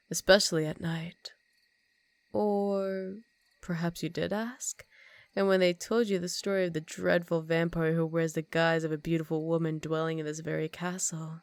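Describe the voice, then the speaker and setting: Low, whispery, seductive vampire countess, acted by a woman. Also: darkish tone, no echo, lightly acted. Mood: commanding, smug, then mocking. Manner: slow teasing, dominant purr, often dropping into whispers. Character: seductive, commanding female vampire countess, ancient and predatory. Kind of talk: ASMR roleplay, intimate and partly whispered.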